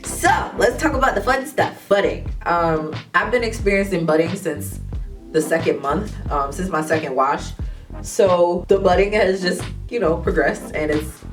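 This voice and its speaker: Low-voiced young woman with a stuffy cold. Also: moderate pace, recorded from a distance, faint music behind. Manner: chatty, laughs often, trails off with ums. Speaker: hair vlogger. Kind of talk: casual talk to camera.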